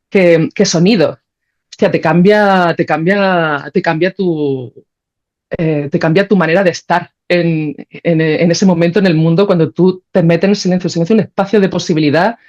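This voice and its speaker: A woman with a Spanish accent speaks at a middle pitch in a casual, colloquial way. She thinks aloud as she explains, like a guest in conversation. The audio is slightly dull and lo-fi. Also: darkish tone. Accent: Spain. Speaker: podcast guest, composer and sound creator.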